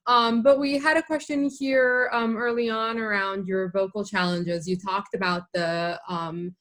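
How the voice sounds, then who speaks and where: A woman's voice: mid-range voice, steady tone, a little slow, a bit bright. A woman hosting an interview, friendly and hesitant, with many filler words. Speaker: interview host. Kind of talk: casual interview chat.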